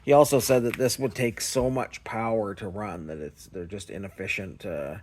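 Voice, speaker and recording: A man casually showing and explaining things as a video host, in a halting, unsure way. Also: fairly low pitch, a little mellow. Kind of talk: casual show-and-tell explanation.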